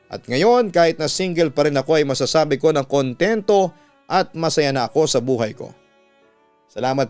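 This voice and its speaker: A man with a clear, resonant voice narrates in the manner of a radio drama host. His pitch sits a little high for a man, and he speaks earnestly with deliberate pauses. Soft music plays behind him. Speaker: radio announcer and storytelling host. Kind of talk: dramatic reading of listener letters, scripted narration. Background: background music.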